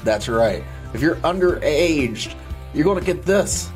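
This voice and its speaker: Slow, mock-lecturing man with music behind. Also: on the high side, expressive. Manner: mock-lecturing, giggling, crude. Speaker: streamer giving a joke slideshow talk. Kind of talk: casual joking group stream.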